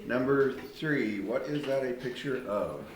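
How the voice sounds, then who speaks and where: A man's voice: mid-range voice, animated, a little slow. A man teaching a geometry lesson to a class, explaining step by step and asking students questions. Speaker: math teacher. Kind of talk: classroom instruction. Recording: echoey classroom with faint noise.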